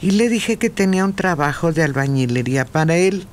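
A man co-hosting a radio show who reads listener messages aloud in a measured way. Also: on the high side, expressive, a little hiss or hum. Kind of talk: reading messages on air.

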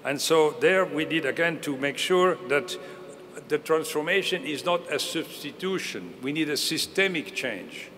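A man's voice: on the high side, expressive, a little slow, a bit bright, a little hiss or hum. A man in his sixties answering questions on a conference panel, earnest and full of restarts, into a table mic. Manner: earnest, restarts and hedges often. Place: large conference hall. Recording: reverberant hall, faint ambience.

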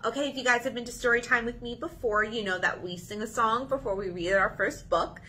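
A woman in her thirties leading a children's story time, reading aloud in an animated, sing-song way to young listeners. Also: a little high, a little fast, slight background noise. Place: small indoor room.

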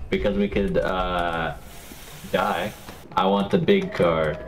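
A man's voice: deep voice, steady tone, takes it slowly. A man chatting casually with friends while gaming. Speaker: gamer playing with friends. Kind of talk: casual in-game chat. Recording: slightly noisy voice chat.

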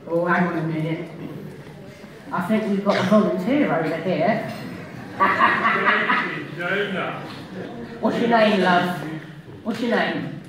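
A man's voice: high voice, slow pace, pauses often. A man with an English accent playing a cheeky, bawdy middle-aged pantomime dame on a live stage, bantering with the audience and leading call-and-response. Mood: bawdy teasing. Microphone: filmed by a phone from the audience. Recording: echoey hall recorded from far off with crowd.

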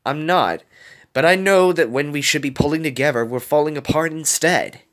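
A man voicing an earnest, concerned young man in a dramatic story, calm but easily exasperated. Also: mid-range voice, a little fast, pitched above the performer's own voice.

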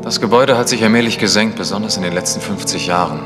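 A male actor plays an earnest, worried village clergyman in a vintage radio play. His fairly low voice explains solemnly over clearly audible plucked guitar music. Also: fast, natural voice. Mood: earnest, concerned. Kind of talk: 1960s German radio play acting.

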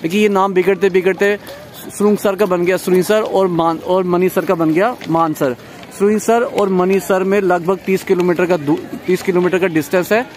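A middle-aged man talks to his viewers in a friendly, chatty way, explaining everything he passes. His voice sits a bit high for a man. He films himself on a phone at arm's length, with faint road and outdoor noise behind him. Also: steady tone, normal speed, a little mellow. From Jammu, India. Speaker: travel vlogger. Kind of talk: casual travel vlog narration. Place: in a car, then outdoors by a lake. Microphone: phone camera at arm's length.

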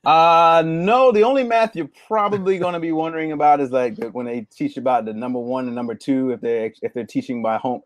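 A middle-aged man, a barber guest, chatting casually on a video call and telling stories with lively acted-out quotes. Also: fairly high pitch, fairly quick, few pauses, no echo. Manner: animated storyteller, acts out quoted lines. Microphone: video call mic.

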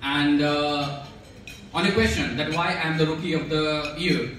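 A man's voice: fairly high pitch, steady tone, measured pace. A young man whose first language is Hindi gives a hesitant acceptance speech, full of fillers and restarts, on a stage in a large banquet hall. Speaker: award recipient giving acceptance speech. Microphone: handheld mic heard from far in the room. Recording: echoey hall, recorded from far off. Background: audience chatter and noise.

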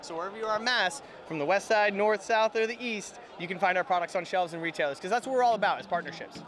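A man in his thirties giving an energetic, fluent product pitch as an interviewee at a convention booth. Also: quick pace, a crowd in the background. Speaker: cannabis company representative, interviewee. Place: indoor convention hall booth. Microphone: handheld microphone held by interviewer.

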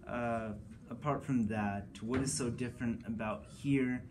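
Male student interviewer, slow and hesitant, light background hiss. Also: medium pitch, fairly even intonation. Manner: hesitant, many "um" and "uh". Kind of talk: interview questions. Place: school conference room.

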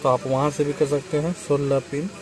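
A man's voice: fairly high pitch, flat delivery, brisk, over a noisy crowd, poor audio. Man explaining car diagnostics while working. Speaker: car mechanic. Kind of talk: hands-on repair tutorial. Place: inside a car and at the open engine bay, outdoors.

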